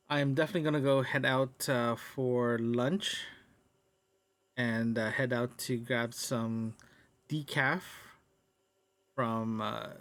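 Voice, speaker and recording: Man, podcast host, casual audio diary, mid-range voice, halting, rambling. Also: medium pitch, fairly bright tone. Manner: halting, rambling, thinking aloud.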